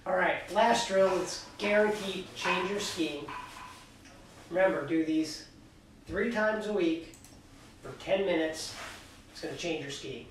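A middle-aged man coaching skiing, calmly explaining foot movements and exercises while he demonstrates in a cluttered indoor workshop, filmed by a camera across the room. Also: high voice, unhurried, long pauses, slight background noise. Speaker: ski coach. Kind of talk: instructional demonstration. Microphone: far camera. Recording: echoey room, mic far off.

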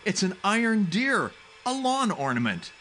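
A man's voice: sits high, big pitch swings, unhurried, bright, forceful tone, slight background noise, heavily acted. A man playing a wry, unflappable expedition scientist in a radio drama, dry and curious. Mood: wryly amused.

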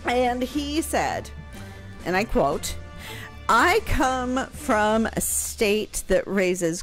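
A woman in her thirties explaining casually as a podcast historian, close on a broadcast mic in a room at home. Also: mid-range voice. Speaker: historian answering questions. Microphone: close broadcast microphone on boom arm.

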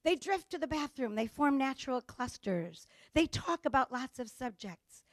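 A woman with a Chicago accent giving a humorous conference talk on gender differences, telling stories and acting out dialogue with lively delivery. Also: high-pitched, moderate pace, no room sound, studio quality. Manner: lively storytelling with acted-out dialogue. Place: conference stage before an audience.

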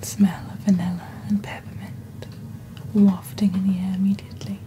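A woman speaking in a breathy, whispery ASMR style, close to the microphone, with a deliberate theatrical delivery as she presents a scripted roleplay. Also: mid-range voice, little pitch movement. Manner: slow, deliberate, hushed, theatrical storyteller delivery. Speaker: host of a roleplay shopping channel. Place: indoors at a desk. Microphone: close desktop microphone.

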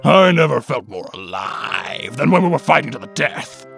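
Aging cartoon mastermind played by a man: theatrical, self-important, gravelly delivery, music underneath. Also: mid-range voice, sing-song, normal speed, fairly bright tone, heavily acted. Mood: excited, scheming. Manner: theatrical, grandiose. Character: pompous aging male evil genius villain. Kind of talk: comic dub voice acting.

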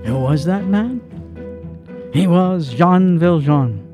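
A man narrating a drama from a script, with measured, solemn storytelling. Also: high voice, lively intonation, over loud music.

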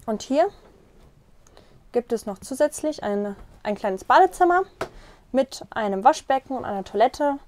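A young woman with a fairly high voice walks viewers through a small caravan, chatty and explanatory. She points things out as she moves, recorded close on a clip-on mic inside the compact interior. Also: animated, unhurried, long pauses, a little mellow. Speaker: vlogger giving a tour. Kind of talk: casual room tour. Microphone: lapel mic.

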